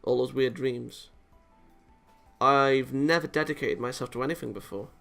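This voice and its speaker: A man's voice: mid-range voice, fairly even intonation, normal speed, gravelly, a bit bright, soft background music. A man reading a visual novel aloud dramatically with off-the-cuff reactions, sometimes tripping over words and rereading them.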